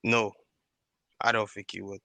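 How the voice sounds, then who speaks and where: Man, bright forceful voice, dry close recording, questioning, agreeable interjections, casual debate. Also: on the low side, little pitch movement, unhurried, creaky, dry recording. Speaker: podcast panellist.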